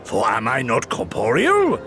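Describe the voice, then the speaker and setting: Game role: boisterous elderly warrior, archaic and theatrical, gravelly, wildly swooping delivery. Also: male voice, mid-range voice, huge pitch swings, unhurried, sharp tone, faint music behind, full character voice. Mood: puzzled, booming reassurance. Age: older. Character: boisterous old samurai general, grandiloquent and archaic. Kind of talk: video game voice acting.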